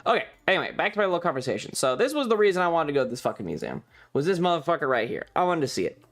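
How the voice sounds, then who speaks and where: A man's voice: a little high, very animated, very fast, a bit bright. A man streamer casually recounting a trip in a rambling, sweary, amused way, with music behind him.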